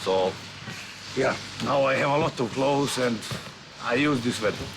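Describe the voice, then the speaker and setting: A middle-aged man from Serbia, a football coach, speaking halting non-native English in an interview. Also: medium pitch, creaky, noisy background. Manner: halting, broken English with restarts.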